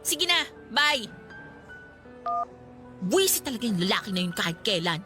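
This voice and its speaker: An actress playing an exasperated, guarded young student in a Filipino radio drama, with a curt, indignant manner. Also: female voice, mid-range voice, very animated, moderate pace, sharp tone, faint music behind. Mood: exasperated, angry.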